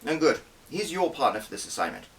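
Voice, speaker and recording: A man's voice: fairly high pitch, very animated, fast, gravelly, a bit bright, distant mic, pitched above the performer's own voice, full character voice. A stern older woman in charge of spies, as voiced by a male audiobook reader, dry and commanding, with weary impatience. The voice is lifted, set a little back from the microphone with a faint hiss. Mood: dry, brisk. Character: stern, weary older female intelligence boss. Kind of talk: audiobook character dialogue.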